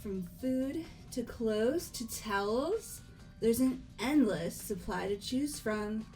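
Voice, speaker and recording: A composed, calculating gothic young lady from a visual novel game , voiced by a woman. She talks slowly and formally, each phrase deliberate and poised. Music plays clearly behind her. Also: fairly high pitch, lively intonation, a little mellow, poor audio. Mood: coy, composed. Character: refined, composed, calculating gothic-lolita teenage girl. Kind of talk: fan dub of visual novel game dialogue.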